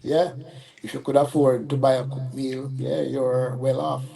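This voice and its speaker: An older man with a Jamaican accent, a guest telling stories from his school days in a relaxed, casual way, with many "you know" fillers. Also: medium pitch, a little slow, vocal fry. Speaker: podcast guest, school alumnus. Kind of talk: casual interview.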